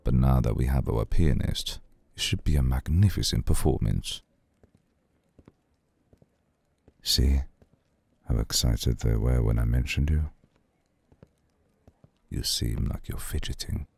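Man voicing an extremely deep, gravelly old vampire lord. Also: a little mellow, dry recording, heavily acted. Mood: welcoming, formal. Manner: formal, unhurried, seductive. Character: suave, centuries-old male vampire lord, courteous, cool, possessive. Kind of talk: ASMR roleplay.

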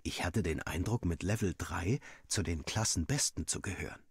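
A man with a fairly low, husky voice narrates a first-person story. He reads expressively and takes his time over the scripted lines. The recording is very dry and close. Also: normal speed, no room sound. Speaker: narrator reading a Minecraft story aloud.

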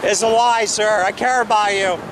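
Street preacher, male, insistent outdoor delivery, high pitch, wind on the camera mic. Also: normal speed. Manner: loud, insistent preaching that drops to calmer conversation. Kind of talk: open-air preaching, then debate. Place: outdoor church parking lot.

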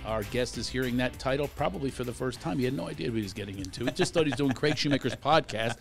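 Lively middle-aged man hosting a podcast. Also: mid-range voice, fast talker, creaky, soft background music. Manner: animated, laughs often, does voices. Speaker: podcast host. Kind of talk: casual chat. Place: small podcast studio with foam panels. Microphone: studio mic on boom arm, headphones.

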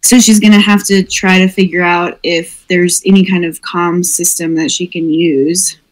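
A woman's voice: fairly low pitch, steady tone, normal speed, rough recording. A woman playing in a tabletop roleplaying game, thinking aloud in a rambling way with many fillers. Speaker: player. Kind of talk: tabletop roleplay over voice chat.

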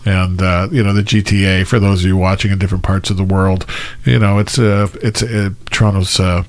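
A man's voice: low-pitched, little pitch movement, brisk. A middle-aged man hosting a podcast, talking in long rambling sentences full of fillers. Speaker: podcast host. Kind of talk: interviewing. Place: small studio. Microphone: studio mic with headphones.

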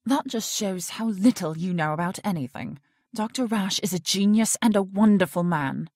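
A woman's voice: mid-range voice, normal speed, a little mellow, no room sound, studio quality, close to an everyday voice. An actress in an audio drama playing an indignant, strong-willed woman who works at a research facility.

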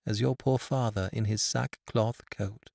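A man voicing a kind young wife who speaks low and softly. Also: fairly even intonation, takes it slowly, gravelly, no room sound, clean studio sound. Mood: tender. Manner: low, soft and tender.

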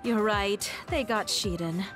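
A woman's voice: mid-range voice, unhurried, music in the background, lightly acted. A woman playing a young soldier in an anime game, composed, cool and dry.

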